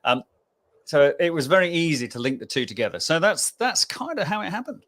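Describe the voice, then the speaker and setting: A man's voice: on the high side, big pitch swings, a little fast, fairly bright tone. A man in his sixties with an English accent, a guest on a podcast, talking chattily with fillers and tag questions. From England. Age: 60s. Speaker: guest author. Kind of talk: podcast interview.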